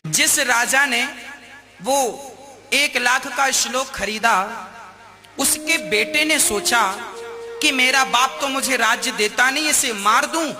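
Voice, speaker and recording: A man telling a moral story in a conversational, preacher-like way, acting out the dialogue as he goes. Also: very high-pitched. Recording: long hall reverb, music underneath. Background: music.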